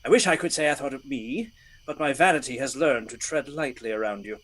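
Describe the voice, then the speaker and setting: A man's voice: medium pitch, fairly quick, a bit bright, lightly acted, rough recording. A man acting a gallant, teasing outlaw leader in a radio play, with courtly, playful phrasing.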